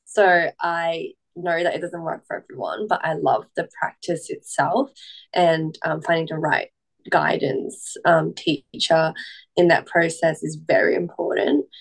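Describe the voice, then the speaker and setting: A young woman whose first language is Cantonese, chatting gently as a podcast guest and pausing often with "um". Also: deep voice, steady tone, creaky, rough recording. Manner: gentle, unhurried, many "um" pauses. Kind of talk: casual podcast conversation.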